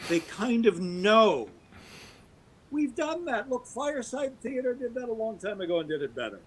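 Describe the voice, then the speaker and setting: A man's voice: big pitch swings, moderate pace, a little hiss or hum. A middle-aged man, a podcast guest, holding forth at length with emphatic, swooping delivery. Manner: emphatic, wide pitch swings, talks at length. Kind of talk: podcast interview, opinionated monologue.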